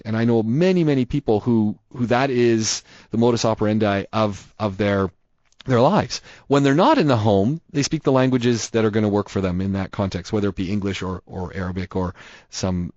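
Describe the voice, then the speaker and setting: A man's voice: medium pitch, darkish tone, no echo, dull audio. A man hosting a radio call-in show, musing aloud, repeating words and asking listeners many questions.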